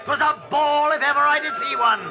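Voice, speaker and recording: Shouting knight in old radio play. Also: male voice, extremely high pitch, fairly even intonation, brisk. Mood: indignant. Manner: archaic, declamatory speech. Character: indignant medieval knight shouting at a ball game. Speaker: actor in radio drama. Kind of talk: 1940s radio acting. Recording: muffled old radio recording, music and crowd.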